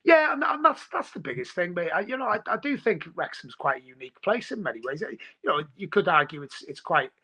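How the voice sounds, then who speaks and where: A man's voice: high voice, very animated, fairly quick, few pauses, bright, forceful tone, husky. A man from North Wales with a North Welsh accent, a Welsh speaker, chatting casually on a podcast and rambling with many restarts. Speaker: podcast guest. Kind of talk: casual chat.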